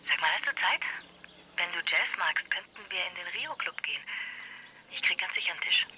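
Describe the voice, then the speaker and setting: A woman's voice: fairly low pitch, big pitch swings, a little fast. Teasing woman on a noisy telephone line. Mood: playful, teasing. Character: playful, teasing woman calling a detective she likes. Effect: telephone filter.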